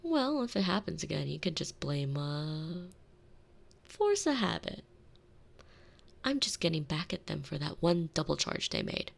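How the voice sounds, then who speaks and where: Woman playing a low, creaky, sardonic retired villainess housewife. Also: very animated, unhurried, full character voice. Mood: wry, scheming. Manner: wry, teasing, theatrical phrasing. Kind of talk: scripted ASMR roleplay audio drama. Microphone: close binaural microphone.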